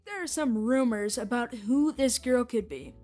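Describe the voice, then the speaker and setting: Higher-voiced woman rambling through fan theories. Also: moderate pace, over loud music. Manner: rambling, repeats points. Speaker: podcast host and commentator reacting to clips. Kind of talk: casual fan-theory commentary.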